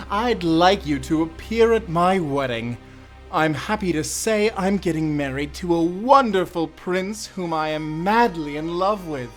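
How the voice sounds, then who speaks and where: Casting: a man to play a smug, cruel jailer, animated and slow, savoring each taunt, audio drama. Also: high voice. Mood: mock reading. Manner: mocking, theatrical, drawling. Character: cruel, gloating male prison warden.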